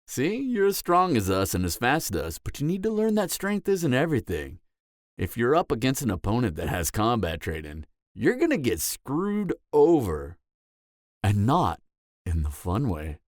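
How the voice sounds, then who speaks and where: A man's voice: mid-range voice, huge pitch swings, a little slow, dry recording, studio quality. A man playing a cocky, flirtatious vampire mentor in an audio roleplay, lecturing in a teasing, sardonic way. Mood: stern, instructive. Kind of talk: dramatic audio roleplay acting.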